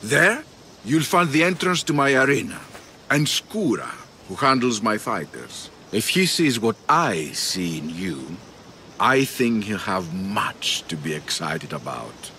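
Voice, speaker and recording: Mayon, a coaxing older arena patron. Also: male voice, on the low side, big pitch swings, sharp tone, a little hiss or hum, exaggerated voice. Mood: persuasive. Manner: measured, coaxing, dramatic pauses. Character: proud older male arena patron, persuasive. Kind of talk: video game cutscene acting.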